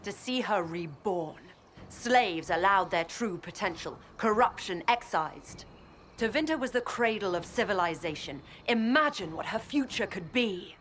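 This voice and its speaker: An actress playing a proud, idealistic villainess mage in a fantasy game, speaking formally and intensely in an imperious manner. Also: female voice, medium pitch, animated, a little slow, fairly bright tone, slight background noise. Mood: fervent. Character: proud, idealistic Tevinter mage woman, betrayed villain turning on her master. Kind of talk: dramatic fantasy game acting.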